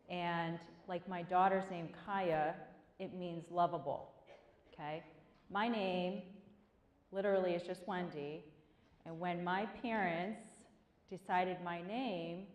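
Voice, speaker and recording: A woman's voice: on the low side, darkish tone. A woman in her forties from Korea gives a chatty motivational talk to students, often asking the audience questions. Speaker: guest speaker. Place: large hall. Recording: long hall reverb.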